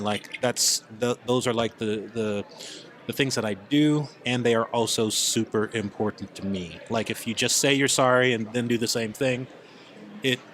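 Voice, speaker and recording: A man with an average-pitched voice talks as a podcast panelist. He is casual and halting, repeating phrases to stress them. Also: a bit bright. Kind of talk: casual group chat.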